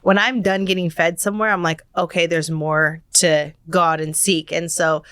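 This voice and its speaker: A woman's voice: on the low side, steady tone, moderate pace, a bit bright, clean tone, no room sound, clean studio sound. A young adult woman from San Diego, telling stories in a chatty, earnest way as a podcast guest. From East County, San Diego, California. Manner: chatty, earnest storytelling with fillers. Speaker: guest, sports agent. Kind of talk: casual interview conversation.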